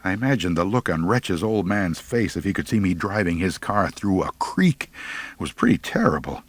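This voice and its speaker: Animated male audiobook narrator. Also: low voice, normal speed, dry recording. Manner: wry, theatrical. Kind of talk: expressive humorous first-person storytelling.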